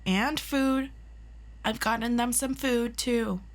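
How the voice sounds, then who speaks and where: A woman's voice: on the high side, slow pace, pitched above the performer's own voice. Casting: woman as young superhero teammate, fiery and loyal, anxious but reassuring, for audio roleplay. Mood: indignant, bright. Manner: informal, interjecting, reassuring. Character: fiery young superheroine, nervous, protective, blurting. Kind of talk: ASMR roleplay acting.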